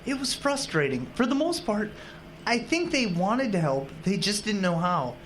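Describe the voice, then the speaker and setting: An earnest young Midwestern husband played by a man, easygoing yet determined. His pitch moves in a lively way as he talks conversationally in a radio drama, with faint noise behind him. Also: normal speed. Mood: thoughtful.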